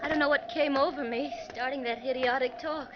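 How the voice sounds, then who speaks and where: A woman's voice: sits high, steady tone, fairly quick, lightly acted. An actress playing an overwrought young woman passenger, earnest and agitated, in dramatic 1940s radio style. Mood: upset, apologetic. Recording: muffled, noisy 1949 radio recording.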